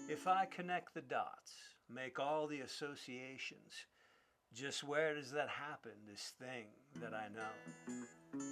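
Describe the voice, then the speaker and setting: Guitar music plays clearly behind a man performing spoken-word poetry. His mid-pitched voice moves very slowly, rising and falling dramatically as he questions. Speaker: poet performing own work. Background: plucked guitar music.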